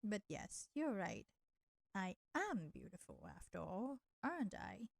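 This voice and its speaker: Woman playing a teasing vampire seductress, creaky voice. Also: medium pitch, big pitch swings, heavily acted. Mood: flirty. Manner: slow, teasing, coaxing, addressing listener with pet names. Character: flirty, teasing, nurturing female vampire, ancient enemy turned caretaker. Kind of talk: intimate roleplay audio drama.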